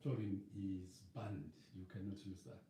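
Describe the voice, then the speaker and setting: A middle-aged Zimbabwean-accented evangelist talks on his live stream in a dark, mellow voice, slightly hoarse. He wanders along with fillers and restarts. The sound is roomy and distant, picked up by a webcam, with a faint hiss behind him. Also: low voice, little pitch movement, measured pace. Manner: rambling, hesitant, many fillers and restarts. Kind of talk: casual live-stream chat. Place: living room with a leather sofa and shelves.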